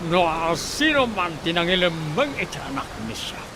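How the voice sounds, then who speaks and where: An actor playing an angry, domineering, scornful boss in a Philippine radio drama, cursing and interrogating. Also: male voice, a little high, very animated, normal speed. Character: angry, domineering male boss hunting his child's assailant. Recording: clearly noisy radio drama audio.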